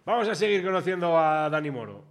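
A man co-hosting a casual interview in a relaxed, playful way. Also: on the high side, fast talker, creaky. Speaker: co-host. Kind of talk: casual chat.

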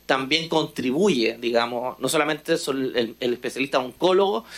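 A middle-aged man with a Chilean accent giving formal health-policy remarks, measured and hesitant, with frequent fillers. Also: fairly high pitch, a bit bright. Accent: Chilean Spanish. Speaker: health official speaking at a session.

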